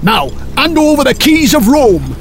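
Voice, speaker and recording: A man's voice: sits high, sing-song, slow pace, gravelly, fairly bright tone, slight background noise, heavily acted. A man voicing a bold, cruel, scheming Viking leader in a storybook tale, with a hearty theatrical delivery. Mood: gleeful menace.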